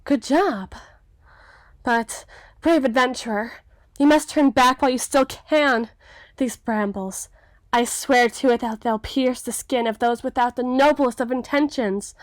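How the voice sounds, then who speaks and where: A woman's voice: a little high, unhurried, no room sound, lightly acted. A woman playing a young, melancholy, self-doubting storybook damsel in an ASMR fantasy roleplay, with theatrical, hesitant, old-fashioned formal phrasing. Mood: wary, discouraging.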